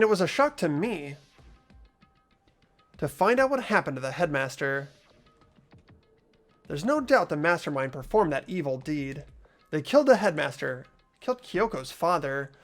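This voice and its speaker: A performer voicing an earnest, animated teenage schoolboy. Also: medium pitch. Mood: troubled. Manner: hesitant, questioning delivery. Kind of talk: fan voice acting of a visual novel game.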